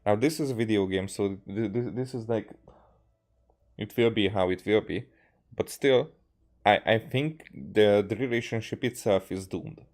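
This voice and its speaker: Low-voiced man, halting game commentary. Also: darkish tone. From Hungary. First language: Hungarian. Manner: halting, stammering, earnest. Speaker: game streamer. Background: game music.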